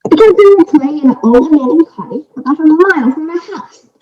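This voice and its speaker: A woman's voice: high voice, lively intonation, a little fast. A woman playing a prim, aggrieved fairy-tale woman, matter-of-fact and self-justifying, in readers theater. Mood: aggrieved, firm. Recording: dull, lo-fi microphone sound.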